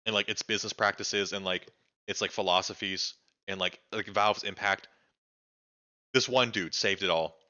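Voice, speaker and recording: A young man streaming live, reacting to a documentary in an excitable, sweary way with lots of "like". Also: deep voice, moderate pace, lo-fi sound. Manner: excitable, swears freely, fills speech with "like".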